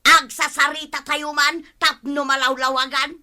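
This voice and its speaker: High, piercing, indignant Ilocano village woman. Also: flat delivery, moderate pace, pushed and bright, heavily acted. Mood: defiant. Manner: argumentative, emphatic. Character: indignant quarrelsome village woman insisting she is the victim. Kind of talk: Filipino radio comedy-drama acting.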